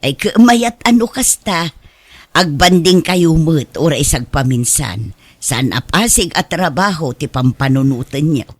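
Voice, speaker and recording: An actor playing a doting, teasing parent of a grown son in an Ilocano radio drama, advising in an animated way. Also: male voice, on the high side, unhurried, dry recording. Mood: gently scolding.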